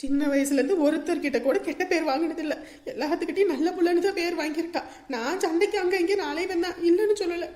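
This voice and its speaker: Woman doing bitter village mom, soft-edged but really shrill high pitch, whiny scolding, roomy echo, family drama. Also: fast. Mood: wounded lamenting. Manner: plaintive, lamenting, scolding. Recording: roomy, echoing voice recording.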